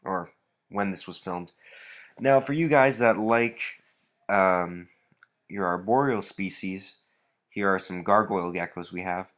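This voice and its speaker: Stuffy-nosed man, low voice. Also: a little slow, long pauses. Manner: relaxed, rambling, many fillers. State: stuffy nose. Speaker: reptile breeder. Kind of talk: casual walkthrough vlog.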